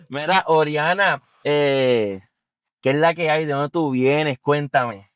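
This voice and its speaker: A man's voice: fairly high pitch, drawn-out words, long pauses, a bit bright, clear, resonant tone, no echo. A man hosting a casual, teasing interview inside a car. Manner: playful, teasing, jokey. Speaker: host.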